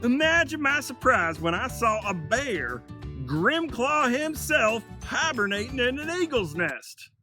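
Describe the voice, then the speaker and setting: A man's voice: extremely high pitch, sing-song, pushed and bright, soft background music, pitched above the performer's own voice, heavily acted. A man voicing a boastful, starry-eyed younger brother bear in a children's story, with a folksy, eager manner. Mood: excited, boasting. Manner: folksy, drawn-out exclamations. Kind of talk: storybook character voice.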